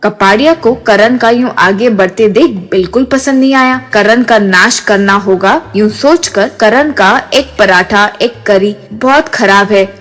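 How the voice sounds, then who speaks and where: A woman reading a scripted moral story as narrator, with music behind. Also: mid-range voice.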